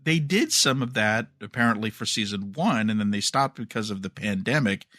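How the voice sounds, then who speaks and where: A man co-hosting a fan podcast, talking casually and a bit haltingly as he thinks aloud. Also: lowish voice, animated, fairly bright tone, no echo, studio quality. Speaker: podcast co-host.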